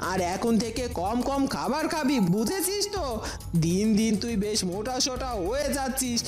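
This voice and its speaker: Woman acting a scheming village wife, irritable, sharp-tongued, high voice, lively intonation, cartoon drama. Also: moderate pace, loud music behind the voice, close to an everyday voice. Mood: bossy scolding. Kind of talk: Bengali cartoon dubbing.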